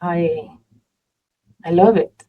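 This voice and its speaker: A woman's voice: on the low side, steady tone, extremely slow, far from the mic, phone-quality sound. A woman from Nigeria whose first language is Yoruba, hosting an interview and reflecting conversationally. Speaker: host. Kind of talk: casual interview talk.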